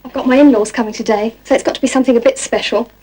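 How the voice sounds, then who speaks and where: Thrifty British home cook, high voice, cheerful and practical, 1970s information film. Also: female voice, steady tone, racing, a little mellow, breathy edge. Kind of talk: scripted public information film piece.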